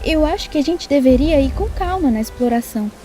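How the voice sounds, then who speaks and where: A woman's voice: high-pitched, expressive, fairly quick, mellow, soft background music. A woman voicing a cautious, argumentative young survivor in an audio drama, reasoning insistently.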